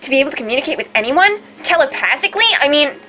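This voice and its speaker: Higher-pitched, lively woman playing a game. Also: racing, slight background noise. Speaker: let's play host. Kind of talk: casual banter and acted reading of game dialogue. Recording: faint background game music.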